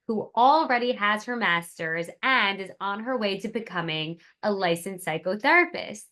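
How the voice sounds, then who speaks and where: A woman hosting a podcast, reading a prepared introduction and asking measured questions. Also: medium pitch, bright, forceful tone. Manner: measured, scripted-sounding questions. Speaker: podcast host. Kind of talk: podcast interview.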